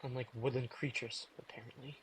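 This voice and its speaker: An actor plays a brooding, protective male vampire in an immersive audio drama. He speaks in a mid-pitched voice, terse and bitterly wry, with noticeable insect-like ambience behind him. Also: little pitch movement, fairly quick, a little hiss or hum, close to an everyday voice, low-quality recording. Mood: bleak, wry.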